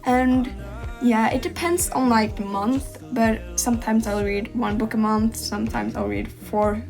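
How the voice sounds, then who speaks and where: A teenage girl from Norway talks in English with a Norwegian accent, her voice pitched a little high. She rambles casually and hesitantly, full of ums. Faint music plays underneath. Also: measured pace, vocal fry, a little mellow. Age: teens. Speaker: art channel host. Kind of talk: casual chat to camera.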